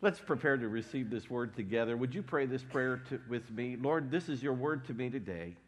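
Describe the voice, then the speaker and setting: A man preaching a sermon as pastor, congested from a cold, with a wry, deadpan humor. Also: mid-range voice, brisk. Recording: long hall reverb.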